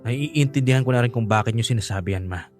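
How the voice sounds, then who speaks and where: A man's voice: mid-range voice, fast talker, faint music behind, natural voice. An actor playing a bitter, resentful young adult son, protective of his mother, speaking with heated emphasis in a radio drama. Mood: hurt, insistent.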